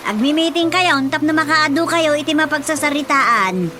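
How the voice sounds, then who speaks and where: A woman's voice: sits high, moderate pace, lightly acted. An actress playing a bossy senior village woman who speaks emphatically in an Ilocano radio drama. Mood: urging. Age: older. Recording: music under the dialogue.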